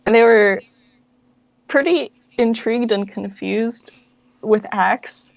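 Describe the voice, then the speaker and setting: A woman's voice: on the high side, slow pace, creaky, no room sound, rough recording. A young woman in her late teens chatting casually and informally as a guest in an interview. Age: late teens, young adult. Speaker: interview guest, fan project founder.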